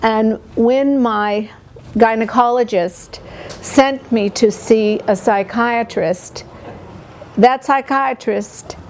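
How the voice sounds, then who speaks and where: Older lady poet, polish first language, talks slow and halting, stops to think a lot. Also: a little high, a little mellow, a little hiss or hum. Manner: slow, thoughtful, halting with pauses. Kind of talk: reflective personal storytelling.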